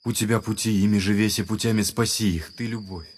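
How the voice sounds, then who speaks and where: An actor playing a gentle, devout and troubled young brother in an audio drama, speaking earnestly. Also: male voice, lowish voice, steady tone, fast talker, close to an everyday voice. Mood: prayerful. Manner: earnest, soft-hearted, prayerful.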